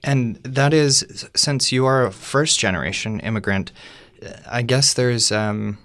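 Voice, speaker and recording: A man's voice: mid-range voice, a little slow, a bit bright, dry recording. A man hosting an interview, asking questions in a hesitant way full of fillers and restarts. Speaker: interviewer, podcast host. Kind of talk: casual interview chat.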